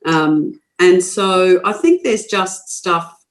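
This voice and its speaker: A woman's voice: fairly low pitch, slow. An older Australian woman speaks her mind in a podcast interview, blunt and forthright. She laughs easily and often restarts her sentences as she thinks aloud. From Queensland, Australia. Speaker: guest.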